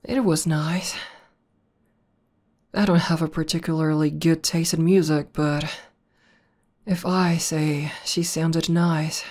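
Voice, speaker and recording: Woman voicing a low, slow, mellow tomboy demoness. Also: steady tone. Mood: calm, reminiscing. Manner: unhurried, hesitant pauses, conversational. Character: buff tomboy demoness girlfriend, proud battle legend, calmly affectionate. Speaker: ASMR roleplay voice actor. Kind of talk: slow intimate ASMR roleplay.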